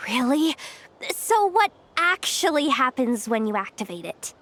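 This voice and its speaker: A woman's voice: high-pitched, sing-song, measured pace, a bit bright, full character voice. An actress playing a brash, scheming young female expo manager in a video game, with a slangy, dramatic way of talking. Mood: curious. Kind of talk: animated video game voice acting. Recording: music under the voice.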